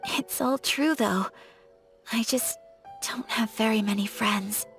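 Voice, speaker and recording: A young woman voicing a poised, shy noblewoman in a game, formal and polite, slipping into soft whispers. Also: a little high, takes it slowly, faint music behind. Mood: shy, wistful. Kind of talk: game voice acting.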